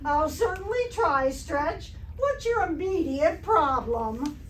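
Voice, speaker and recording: Woman acting as sardonic lady schoolteacher, very high voice, slow dry delivery, old radio comedy, echoey hall. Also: lively intonation. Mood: deadpan. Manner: dry, deliberate wisecracking. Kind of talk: 1940s radio comedy acting, staged revival. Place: live stage performance by theater troupe. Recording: echoey hall from far off, faint noise.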